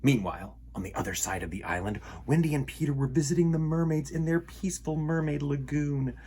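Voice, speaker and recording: A man telling a children's story in an animated, theatrical way, acting out the sound words. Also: on the high side, a little fast, a little hiss or hum.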